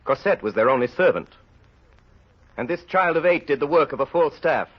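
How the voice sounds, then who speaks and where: Husky male old-time radio narrator. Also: on the high side, expressive. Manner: grave, deliberate, theatrical. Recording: muffled old radio with music behind.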